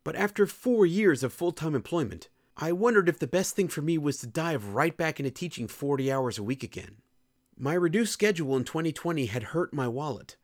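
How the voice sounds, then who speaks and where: A man's voice: on the high side, darkish tone, no room sound, clean studio sound. A young man reading his own personal column aloud in a candid, earnest and reflective way. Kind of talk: scripted personal essay narration.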